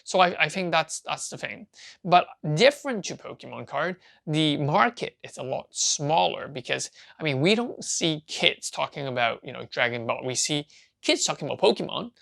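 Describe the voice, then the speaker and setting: A man who grew up in Canada and Hong Kong, hosting a card-collecting channel and talking casually to camera, halting with many restarts and fillers. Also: a little high, big pitch swings, creaky, a bit bright. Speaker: trading card seller and channel host.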